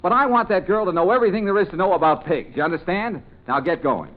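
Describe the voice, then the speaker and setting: An actor playing a brisk, scheming, bossy man with a pushy, excitable manner in old-time radio comedy style. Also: high-pitched, over loud music. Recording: muffled old radio recording.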